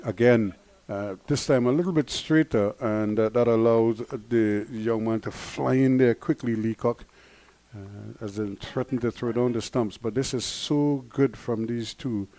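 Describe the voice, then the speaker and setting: Mellow-voiced cricket commentator, Guyanese-tinged accent. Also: male voice, mid-range voice. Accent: Guyanese variation. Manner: measured, analytical, chuckles often.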